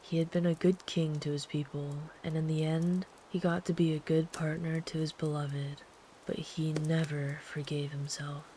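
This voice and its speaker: A woman playing a kind, protective traveler in an ASMR sleep-aid roleplay, speaking gently and soothingly in a hushed, partly whispered voice. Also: sits low, little pitch movement, moderate pace, slight background noise, close to an everyday voice, rough recording. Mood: hushed, storytelling. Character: kind, protective traveler comforting a runaway by a campfire.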